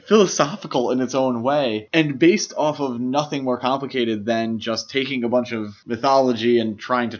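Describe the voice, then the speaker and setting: A man's voice: mid-range voice, highs cut off. A man co-hosting a podcast, chatting casually about films in a jokey, opinionated way. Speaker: podcast co-host. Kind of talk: casual film discussion.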